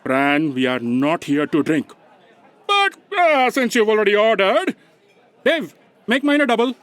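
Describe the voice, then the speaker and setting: A man's voice: high voice, very animated, a little slow, a bit bright, no echo, heavily acted. A man playing a fussy, bossy, theatrical assistant in a comedic audio drama, exclaiming dramatically. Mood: flustered, indulgent.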